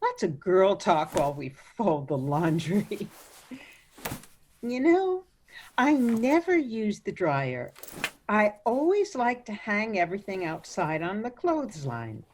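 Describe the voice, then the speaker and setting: A woman acting in a radio play as a sweetly meddling, fussy old mother-in-law with a genteel, gently disapproving manner. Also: mid-range voice, very animated, takes it slowly, slight background noise. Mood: chatty. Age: older.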